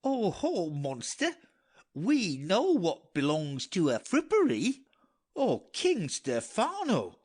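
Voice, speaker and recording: A man's voice: high-pitched, wildly swooping pitch, slow pace, darkish tone, breathy edge, heavily acted. A man voicing a silly, whining jester with exaggerated singsong clowning in a dramatic Shakespearean audiobook. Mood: delighted, foolish. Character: silly, whining, high-voiced male jester.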